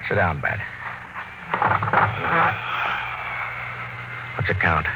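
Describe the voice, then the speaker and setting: Man acting a grave, low-voiced space captain. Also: fairly even intonation, quick pace, very noisy, close to an everyday voice. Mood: grim. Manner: grave, dramatic. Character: weary, probing male space expedition captain, narrating his ordeal. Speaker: narrator and lead actor. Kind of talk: classic radio drama acting. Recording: old radio recording with music behind.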